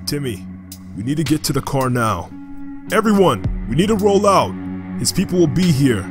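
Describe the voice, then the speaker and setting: Guy as urgent crew boss, bit higher voice, commanding, audio drama. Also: sing-song, moderate pace, music in the background. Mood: urgent, firm.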